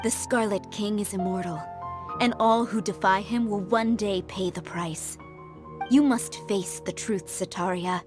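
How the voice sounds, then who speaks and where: A woman plays a composed, mysterious female figure in a video game. Her mid-range voice is measured and solemn, persuading with quiet authority. Music plays behind her. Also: mid-range voice, fairly even intonation, natural voice. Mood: solemn, ominous. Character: composed, enigmatic woman preaching judgment and atonement. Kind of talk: dramatic video game voice acting.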